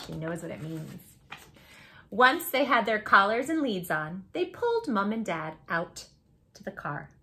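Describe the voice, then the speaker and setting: Bright, encouraging woman reading to children. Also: on the low side, gravelly. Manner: affectionate, encouraging, addresses young listeners directly; expressive, emphatic delivery. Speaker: host reading children's picture books aloud. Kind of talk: children's read-aloud storytelling with friendly asides.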